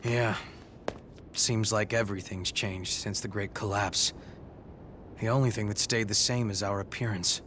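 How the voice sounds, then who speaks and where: A man playing a gruff, practical soldier companion in a video game, matter-of-fact in his delivery. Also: lowish voice, steady tone, unhurried, background noise, lightly acted. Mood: brisk, wistful. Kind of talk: English video game voice acting.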